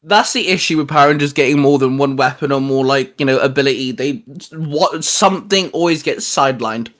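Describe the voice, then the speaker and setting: A young man chatting casually as a co-host, excitable and quick to laugh, swearing freely. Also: medium pitch. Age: young adult. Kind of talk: casual podcast chat.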